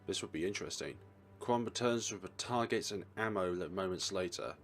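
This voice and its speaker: Low voiced guy doing a lets play, reads stuff out n adds jokey comments. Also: fairly quick, fairly bright tone, faint music behind. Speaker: let's play host. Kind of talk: casual game commentary mixed with reading aloud.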